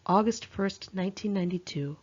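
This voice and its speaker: A woman's voice: medium pitch, brisk, a little mellow, slightly rough. A woman reading her own memoir aloud in a candid, wry, conversational and confessional way, with dramatic expression. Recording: muffled lo-fi home recording.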